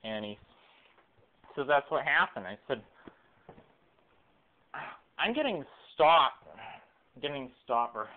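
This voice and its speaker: Male, late 20s, confessional rambler, halting and repetitive delivery, weak narrow-band phone-camera sound. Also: medium pitch. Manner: halting, repeats and rephrases himself. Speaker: video blogger. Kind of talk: rambling personal confessional monologue. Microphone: cell phone camera microphone. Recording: weak, muffled lo-fi cell phone audio.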